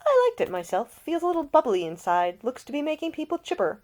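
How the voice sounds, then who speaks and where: A man's voice: very high-pitched, huge pitch swings, fast talker, darkish tone, voice pushed up, exaggerated voice. A woman voicing a breezy, idle young aristocratic man at a party in an audiobook. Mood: breezy, chipper. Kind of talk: audiobook character voice.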